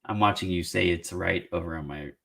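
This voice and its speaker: A man hosting a casual live-streamed Q&A on job searching, animated and joking, with quick restarts. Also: sits low, gravelly. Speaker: career advice show host.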